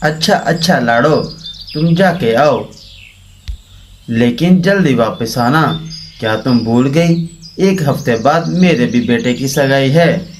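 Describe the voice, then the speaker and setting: A man's voice: medium pitch, lively intonation, slow pace, slight background noise. A man voicing a kindly, protective crow, a brotherly neighbour in a children's cartoon. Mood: friendly.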